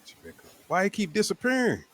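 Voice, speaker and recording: A man's voice: high-pitched, huge pitch swings, quick pace, fairly bright tone, slight background noise. A man with an American accent hosting a casual show, talking in a laid-back, slangy way. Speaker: show host. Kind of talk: casual online panel show talk.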